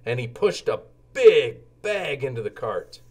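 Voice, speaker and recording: Slow, very expressive man reading storybooks. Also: mid-range voice, breathy edge. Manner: deliberate, expressive storytelling for young children. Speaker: children's book narrator. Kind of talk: animated read-aloud storybook narration.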